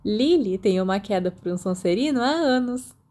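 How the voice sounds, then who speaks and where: A Brazilian woman voicing a playful, boastful teenage schoolgirl in an audiobook story, with a teasing, lively manner. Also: mid-range voice, moderate pace, natural voice. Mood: sly. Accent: Brazilian Portuguese.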